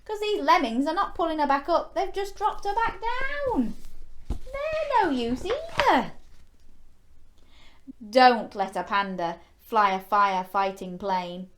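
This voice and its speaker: A woman's voice: high-pitched, lively intonation, slow. A woman hosting a children's story time, reading a picture book expressively with exclamations and playful questions to young listeners. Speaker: children's storyteller and show host.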